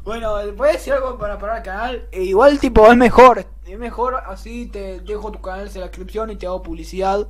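A man's voice: sits high, a little fast, few pauses, a bit bright. A young man with a Rioplatense Spanish accent chatting casually as a prank-video host, often repeating himself. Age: teens to young adult. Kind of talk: casual chat to followers and a caller. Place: small bedroom, indoors.

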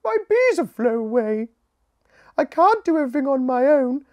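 A woman voicing a tired, frazzled queen bee in a storybook, speaking high and breathless. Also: very animated, normal speed, darkish tone.

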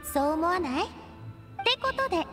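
A spirited young lady for an anime game. Her voice is high and very expressive, playful, confident and affectionate, over faint music. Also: moderate pace. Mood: playful, upbeat. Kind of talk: Japanese anime game voice acting.

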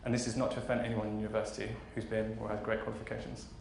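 A man's voice: on the low side, little pitch movement, rapid-fire, gravelly, a little mellow. A man aged thirty giving an informal, enthusiastic conference keynote in a conversational way. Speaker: keynote speaker, fashion marketing executive. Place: large conference auditorium stage. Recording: roomy hall echo, faint background noise.

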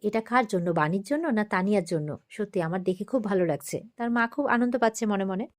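A woman's voice: medium pitch, lively intonation, fast talker. A woman narrating a TV serial recap in a casual, chatty storytelling way, acting out the dialogue as she goes.

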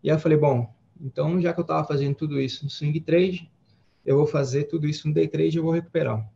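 Man, 40s, gravelly voice, easygoing rambling storyteller, dull video call sound. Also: a little high, quick pace. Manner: relaxed, rambling, many fillers and restarts. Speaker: guest trader. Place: home office with window. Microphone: headset on a video call.

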